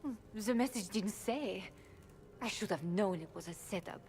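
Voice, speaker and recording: A woman's voice: medium pitch, moderate pace, darkish tone, lightly acted. A woman playing a wary, bitter elven servant, guarded and resentful, in a fantasy video game.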